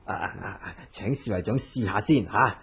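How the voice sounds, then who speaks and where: An actor playing a timid, doting household cook in a Cantonese radio drama, hesitant, sighing and deferential, with a Hong Kong accent. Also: male voice, on the low side, normal speed, gravelly, a bit bright, breathy edge, exaggerated voice. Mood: nervous, deferential. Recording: muffled narrow-band old radio recording.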